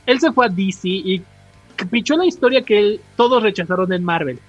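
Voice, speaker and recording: High, raspy-voiced Mexican man on a podcast. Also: expressive, fairly quick, a bit bright. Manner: slangy, rambling explanations acted out with quotes. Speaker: podcast co-host. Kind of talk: casual explanatory chat about comics. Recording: dull podcast audio with music.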